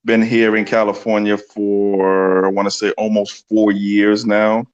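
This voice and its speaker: Male guest, lower voice, earnest, thinks out loud. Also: little pitch movement, unhurried, clear, resonant tone, dull audio. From New York City. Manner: earnest, thinks aloud with ums and restarts. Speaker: guest, community organizer. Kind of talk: casual explanatory interview talk.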